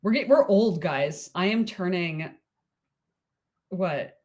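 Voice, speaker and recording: A woman's voice: lowish voice, slow pace. Giggly woman in her late thirties. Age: 30s. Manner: giggly, gushing, lively with frequent laughs. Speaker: podcast co-host. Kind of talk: casual chat.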